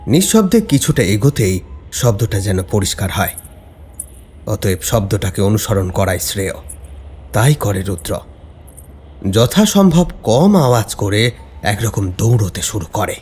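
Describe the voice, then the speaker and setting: A man narrating a scripted horror story in a dramatic, suspenseful, deliberate way. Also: on the low side, sing-song, a little mellow, a little hiss or hum.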